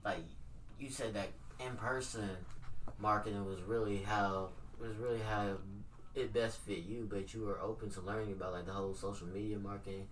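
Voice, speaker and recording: Slightly distant from the microphone, with faint background noise, a man with a lowish voice hosts a casual interview. He speaks haltingly, restating and rephrasing as he builds toward his questions. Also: fairly even intonation, fairly bright tone. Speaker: podcast host, interviewer. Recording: distant mic, noisy background ambience.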